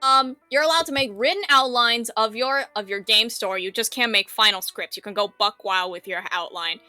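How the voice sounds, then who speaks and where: Woman, bright forceful voice, slightly high pitch, casual livestream chat, close dry sound, music behind. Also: normal speed, no echo. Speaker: game developer co-streaming.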